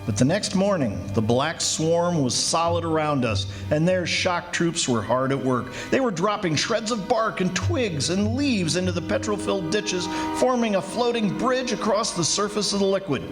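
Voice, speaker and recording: A man's voice: high voice, animated, soft background music. A man playing an earnest, anxious commissioner in a 1940s radio drama, telling the tale dramatically. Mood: grave, suspenseful. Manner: dramatic, urgent, measured when narrating. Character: anxious, earnest male commissioner recounting the siege. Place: live stage performance at a college.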